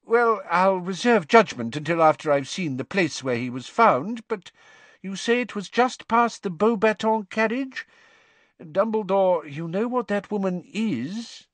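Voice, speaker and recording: A man's voice: high-pitched, lively intonation, a little slow, dry recording, pitched above the performer's own voice. A man reading an audiobook and voicing a blustering, pompous middle-aged male official who gets flustered and insistent.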